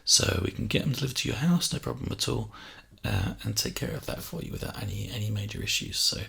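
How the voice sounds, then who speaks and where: A man doing a casual, reassuring ASMR sales role play, speaking softly and chattily into a close binaural microphone. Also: lowish voice, huge pitch swings, fast talker. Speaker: ASMR performer playing a personal shopper. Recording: close binaural mic, clear background hiss.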